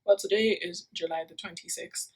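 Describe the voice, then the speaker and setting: Chatty young woman, lowish husky voice. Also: steady tone, normal speed, a little mellow, rough recording. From Nigeria. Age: young adult. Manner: rambling, many fillers and self-corrections. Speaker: vlogger, university student. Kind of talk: casual vlog chat. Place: her room, indoors. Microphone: phone taped to the wall.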